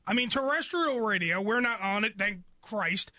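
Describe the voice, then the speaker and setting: A woman's voice: medium pitch, lively intonation, normal speed, bright, forceful tone, no room sound, clean studio sound. A woman hosting a radio show, interviewing in a casual, blunt, opinionated and informal way.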